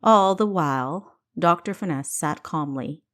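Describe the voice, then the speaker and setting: A woman author narrating her own fiction audiobook in an expressive storytelling style. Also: low voice, unhurried.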